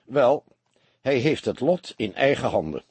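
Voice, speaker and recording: A man voicing a calm, weary, shrewd middle-aged police detective in an audiobook, quiet and measured. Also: medium pitch, slightly rough, no echo, exaggerated voice. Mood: wry, confident. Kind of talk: audiobook character dialogue.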